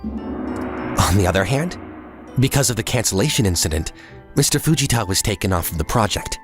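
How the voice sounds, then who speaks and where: A man's voice: fairly low pitch, expressive, moderate pace, soft background music, close to an everyday voice. A man playing an earnest, polite young businessman who narrates his own story in a comic drama dub. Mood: polite, measured. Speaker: voice actor narrating and playing the lead.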